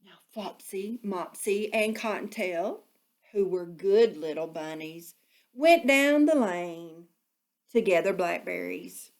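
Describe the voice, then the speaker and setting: A woman narrating a children's storybook expressively, with deliberate phrasing suited to young listeners. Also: on the low side, animated.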